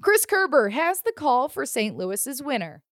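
A woman's voice: high-pitched, big pitch swings, unhurried, a bit bright, a little hiss or hum. A woman hosting a sports podcast, reading scripted news and recaps. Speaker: podcast host.

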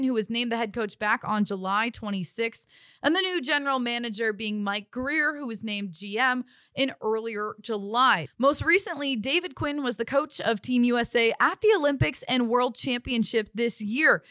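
A woman's voice: a little high, normal speed, fairly bright tone, no room sound, clean studio sound. A woman hosting a sports podcast, presenting team news and putting questions to a guest. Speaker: sports podcast host and interviewer. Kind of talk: scripted sports segment and interview.

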